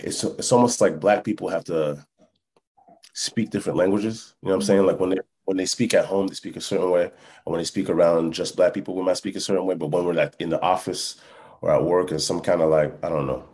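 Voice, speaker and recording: A man being interviewed casually on a podcast as a musician, thinking aloud haltingly and often checking that the listener follows. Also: low-pitched, fairly even intonation, darkish tone. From born in France, lived in Canada and Texas, Congolese family. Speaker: hip hop musician, interview guest.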